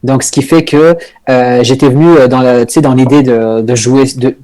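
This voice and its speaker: A man's voice: medium pitch, steady tone, moderate pace, dark tone. Hesitant man, comedian chatting. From northern France. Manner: hesitant, many fillers and restarts, laughs often. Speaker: comedian guest. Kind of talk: casual podcast interview.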